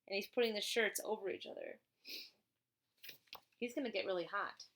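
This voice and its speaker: A woman's voice: mid-range voice, normal speed, a bit bright, a little hiss or hum. A woman reading a children's picture book aloud as a teacher, playful and chatty, with casual asides and questions to a young listener.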